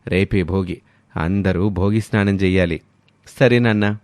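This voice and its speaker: A man playing a kindly, measured middle-aged village father in a moral story. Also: low-pitched, fairly even intonation, darkish tone, smooth, dry recording. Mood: gently instructive.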